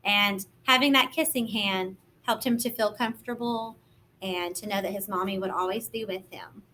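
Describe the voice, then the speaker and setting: A woman reading a picture book aloud as a kindergarten teacher, gentle and expressive, explaining simply to young children. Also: mid-range voice, moderate pace, slight background noise.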